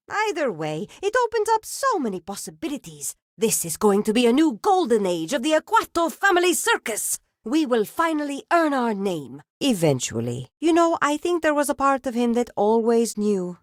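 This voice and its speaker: A woman's voice: fairly high pitch, very animated, moderate pace, hardly any pauses, no room sound, clean studio sound. A woman voicing a strict, proud, middle-aged circus matriarch with a theatrical lilt in a video game. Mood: commanding. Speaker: voice actress.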